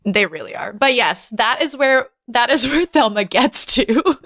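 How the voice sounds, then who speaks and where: A woman's voice: fairly high pitch, animated, unhurried, fairly bright tone, dry recording, clean studio sound. A woman podcast host in casual discussion, emphatic and enthusiastic as she guides the talk.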